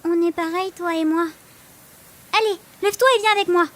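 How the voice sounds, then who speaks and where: An extremely high child's voice, bold and chirpy. The kid is cheeky and bossy yet kind, played as audio drama dialogue. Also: female voice, fairly even intonation, brisk, fairly bright tone. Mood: cheerful, bossy. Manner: chirpy, cheeky, take-charge.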